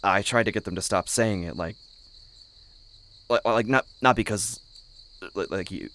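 A young man with a deep voice talks hesitantly, tripping over his words, as a male actor plays him. He is awkward and troubled, an audio drama camper, with faint night noise and crickets behind him. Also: fairly bright tone. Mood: awkward, anxious. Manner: halting, hesitant, stammering. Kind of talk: naturalistic audio drama dialogue. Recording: noisy background with chirping crickets.

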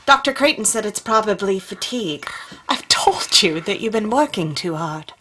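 A woman's voice: medium pitch, lively intonation, normal speed, sharp tone, slight background noise. An actress playing an anxious, protective wife and mother in a radio drama, questioning with worried insistence. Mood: wary, insistent.

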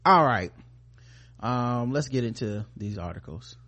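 A man's voice: mid-range voice, expressive, measured pace, sharp tone. An American man co-hosting a podcast in casual, profane, slangy chat, playfully addressing his listeners. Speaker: podcast co-host.

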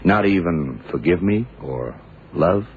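Shrewd detective, very low voice, hard-boiled, deliberate clipped delivery, vintage radio drama, muffled noisy recording. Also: male voice, animated, heavily acted. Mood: stern, accusing. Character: shrewd, hard-boiled blind male detective confronting killers. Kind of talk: 1970s radio drama acting.

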